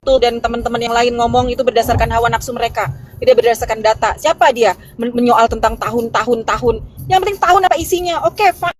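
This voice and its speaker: A woman's voice: a little high, steady tone, fast, soft background music. A woman hosting a live stream, explaining at length in a casual way with restarts and fillers, talking to viewers from the road. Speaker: host of a live religious debate stream.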